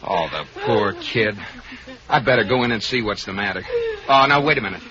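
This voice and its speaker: An actor playing a flustered, fretting husband in an old radio sitcom with a studio audience. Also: male voice, lowish voice, expressive, fairly quick, muffled recording, a crowd in the background, close to an everyday voice. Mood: fretful. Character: flustered, affectionate middle-aged husband. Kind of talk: 1940s radio comedy acting.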